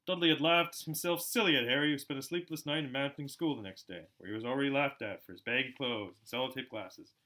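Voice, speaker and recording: A man narrating a storybook aloud in an expressive, dramatic way, as an amateur audiobook reader. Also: medium pitch, fast, hardly any pauses. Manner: animated, dramatic.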